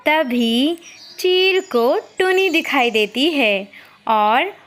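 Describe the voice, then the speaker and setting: A woman's voice: high-pitched, lively intonation, very slow, fairly bright tone, soft background music. A woman reading scripted narration for a children's cartoon story.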